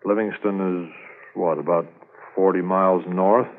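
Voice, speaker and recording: Low-voiced, businesslike 1950s radio policeman. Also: steady tone, a little slow, a little hiss or hum. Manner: firm, clipped, businesslike questioning. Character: brisk, methodical police officer investigating a robbery. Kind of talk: 1950s radio drama acting. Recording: muffled 1950s radio transcription recording.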